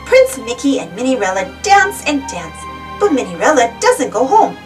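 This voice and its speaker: A woman reading a children's storybook aloud as an animated narrator. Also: a little high, moderate pace. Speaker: storybook reader. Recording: distant mic, music behind.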